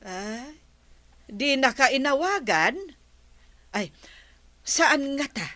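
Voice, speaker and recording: A woman's voice: high-pitched, lively intonation, slow, sharp tone, no room sound, heavily acted. An actress playing a curious, meddling married village woman in a radio comedy drama. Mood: concerned.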